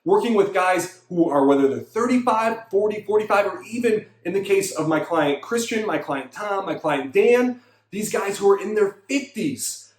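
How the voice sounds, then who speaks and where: Thirties male fitness coach, high voice, emphatic repetitive pep talk, echoey room. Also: expressive, moderate pace. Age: 30s. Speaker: fitness coach and show host. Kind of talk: motivational monologue.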